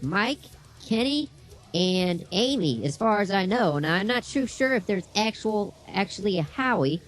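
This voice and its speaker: Man as unbothered male co-host with a high, pitch-shifted voice, casual comedy banter, faint music underneath. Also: sing-song, measured pace. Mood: mild, reasonable. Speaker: podcast co-host.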